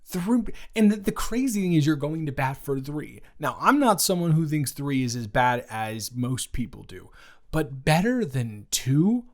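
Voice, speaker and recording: Slow, halting young American man. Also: fairly high pitch, big pitch swings. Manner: emphatic, halting, repeats words for stress. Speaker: audio roleplay performer. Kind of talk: improvised casual chat roleplay, as a roommate.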